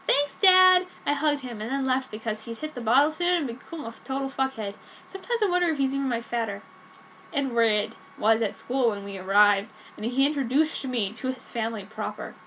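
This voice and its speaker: A woman's voice: on the high side, a little fast, a bit bright, poor audio. A woman giving a dramatic reading of a fan fiction story. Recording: noticeable background noise.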